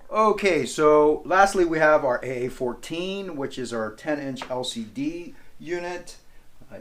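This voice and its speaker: A man in his forties giving an informal product demonstration, explaining step by step in a plain-spoken way. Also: medium pitch. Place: small indoor office room, standing behind a demo table.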